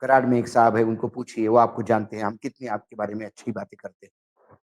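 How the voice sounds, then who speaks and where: A man giving political commentary, speaking emphatically and deliberately in short phrases as he recounts dialogue. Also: fairly low pitch, level tone. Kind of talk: political commentary, narrating and translating a reported conversation.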